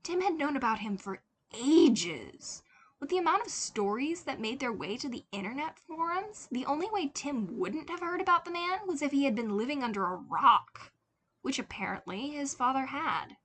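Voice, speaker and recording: A woman reading a scripted story aloud as an expressive fiction narrator. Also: sits high, moderate pace. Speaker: podfic narrator.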